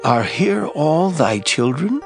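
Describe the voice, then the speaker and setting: A man's voice: on the high side, very animated, takes it slowly, creaky, over music, exaggerated voice. A man playing a grave, authoritative Hebrew prophet in a scripture audio drama, declaiming his lines with emphasis. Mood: solemn.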